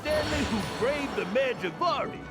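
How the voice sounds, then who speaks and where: A man's voice: very high-pitched, animated, normal speed, over loud music. A man playing a boastful, self-proclaimed legendary adventurer in an anime fantasy video game, with a grandiose storytelling manner. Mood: bragging. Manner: bragging, theatrical, grandiose storytelling.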